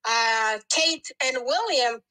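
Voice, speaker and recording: A woman who was born in Denmark and grew up in Russia, chatting as a guest on a video call, giggly and halting with many fillers. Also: fairly high pitch, expressive, pushed and bright, dry recording, low-quality recording. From born in Denmark, raised in Russia. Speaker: guest content creator. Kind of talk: casual interview chat.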